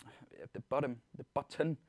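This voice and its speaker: A man with a Danish accent giving an informal software tutorial as a webinar presenter, explaining things step by step in a reassuring way. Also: mid-range voice, expressive, moderate pace, dry recording. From Denmark. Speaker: webinar presenter, product trainer.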